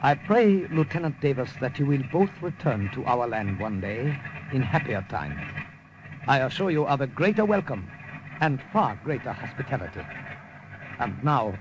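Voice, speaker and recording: A gracious, formal Siamese army major, acted by a man. He speaks courteously in a medium-pitched voice, in a vintage radio drama with clear background noise. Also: lively intonation, moderate pace, lo-fi sound, natural voice. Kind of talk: 1950s radio drama acting. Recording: noisy old radio recording.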